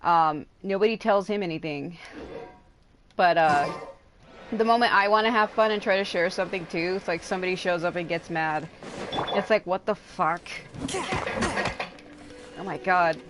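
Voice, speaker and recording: A woman's voice: fairly low pitch, normal speed, pauses often, noisy background. A woman chatting casually as a livestreamer, rambling and venting with casual swearing. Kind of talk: casual gaming livestream chat.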